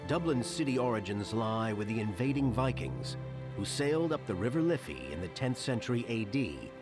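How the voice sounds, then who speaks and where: A man with a medium-pitched voice narrates a documentary clip. His scripted read moves up and down animatedly over very loud music. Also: very animated, normal speed.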